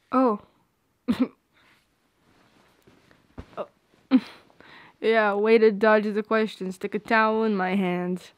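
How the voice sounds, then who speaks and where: A woman's voice: on the high side, dry recording, clean studio sound, heavily acted. A young woman playing a shy, teasing friend with a secret crush in an intimate ASMR roleplay, playful and a little hesitant. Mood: concerned, teasing.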